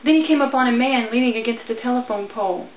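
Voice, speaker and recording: A woman's voice: fairly high pitch, fast talker. A woman giving a theatrical, emotional dramatic reading as a storyteller. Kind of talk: dramatic reading of a religious allegory. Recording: tinny, band-limited, echoey, faint noise.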